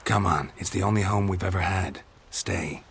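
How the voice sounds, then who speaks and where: An actor voicing a gruff, stoic monster hunter in a video game, gravelly and hushed. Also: male voice, deep voice, normal speed, a little hiss or hum. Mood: subdued. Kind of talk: dramatic video game voice acting.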